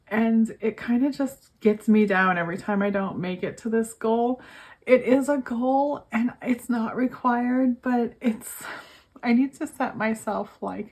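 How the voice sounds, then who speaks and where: A young woman with a cold and a failing voice, chatting casually to her viewers about her budget, worn out and talking to them as you guys. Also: mid-range voice. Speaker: budgeting vlogger. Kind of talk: casual chat to camera.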